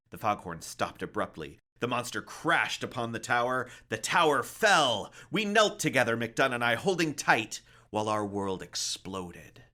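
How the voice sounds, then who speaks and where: A man narrates a somber tale in a theatrical read-aloud style. His voice sits a little low but has a bright, forceful edge. The phrasing is deliberate and dramatic, like a librarian performing a story.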